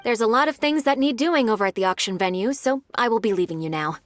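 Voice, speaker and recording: Woman acting lively, higher-voiced young secretary. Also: fast, close to an everyday voice. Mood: concerned. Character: earnest, diligent young female secretary to a noblewoman. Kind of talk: video game voice acting.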